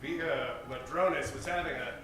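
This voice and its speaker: A man's voice: on the high side, moderate pace, heavy background noise. A man reading scripted narration for a noir radio comedy. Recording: echoey, distant live stage recording. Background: faint music.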